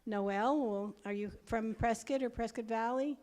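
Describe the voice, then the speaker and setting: A woman leading a church service, chatting with the congregation and welcoming newcomers in a halting, friendly way. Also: on the high side, darkish tone. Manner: chatty, halting with fillers, greets newcomers by name. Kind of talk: warm welcoming announcements to a congregation. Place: church hall.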